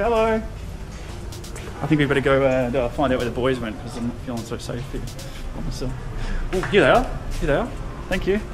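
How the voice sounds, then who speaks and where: Young man, travel vlogger, excitable, fairly high voice, action cam at arm's length. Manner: excitable, exclaiming and laughing. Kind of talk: casual handheld vlog. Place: outdoors and in a back-alley restaurant. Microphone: action camera held at arm's length.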